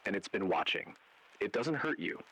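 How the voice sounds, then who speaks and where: A man playing an ominous agent who narrates an interactive horror warning in grave, deliberate, foreboding second-person script. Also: on the low side, very animated, fairly bright tone, slightly rough, exaggerated voice, low-quality recording.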